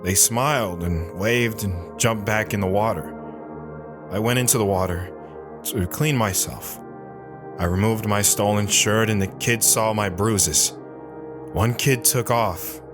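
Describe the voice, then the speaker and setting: Man acting a low-voiced, haunted war veteran. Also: lightly acted. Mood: weary, grave. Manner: somber, measured, short plain sentences. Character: lone wandering war veteran, guarded, haunted, stoic man. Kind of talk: dramatic first-person audio drama narration. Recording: music behind the voice.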